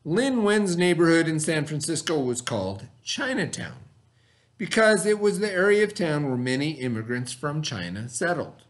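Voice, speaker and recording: A man's voice: on the high side, animated. Male teacher reading a lesson aloud. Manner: instructional, pausing to explain and ask questions. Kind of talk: read-aloud lesson with explanatory asides.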